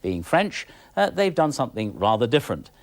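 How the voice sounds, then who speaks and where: A man's voice: mid-range voice, sing-song, fairly quick. A man speaking as an expert presenter, giving enthusiastic, emphatic conversational commentary. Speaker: presenter, recorded music expert. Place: television studio.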